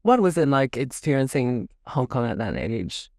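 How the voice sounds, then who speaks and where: A man hosting an interview, asking curious questions and dropping soft agreeing hums between them. His voice is at a plain middle pitch. The sound is dry and close, with no echo. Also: very animated, moderate pace, vocal fry. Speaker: interviewer, host.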